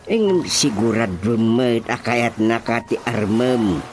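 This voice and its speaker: A man's voice: mid-range voice, slow, lightly acted. A man with an Ilocano accent playing a teasing, exclamatory village chairman uncle in a radio comedy drama. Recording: music behind the voice.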